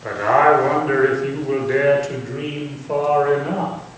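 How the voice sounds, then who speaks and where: A man playing an urbane, theatrical Victorian gentleman with cultivated, deliberate phrasing in a staged reading. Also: medium pitch, fairly even intonation, close to an everyday voice. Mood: admiring, provocative. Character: Sir Walter, urbane Victorian gentleman, theatrical, eagerly intrigued. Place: echoey room or small theatre, staged reading. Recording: very echoey, distant stage recording, faint noise.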